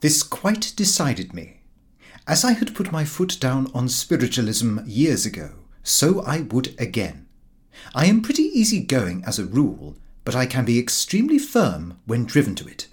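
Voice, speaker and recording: A man reading a comic diary aloud as an audiobook narrator, in expressive scripted narration. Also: mid-range voice, normal speed.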